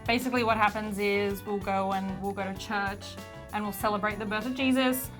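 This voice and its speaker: A woman's voice: medium pitch, steady tone, a little fast, music in the background. An upbeat woman chatting about family holidays. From Australia, Croatian family. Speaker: participant in a food swap. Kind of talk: casual chat.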